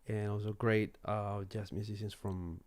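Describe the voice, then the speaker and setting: A man hosting a casual interview, halting and searching for words with many repeats. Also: lowish voice, flat delivery, darkish tone. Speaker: interview host.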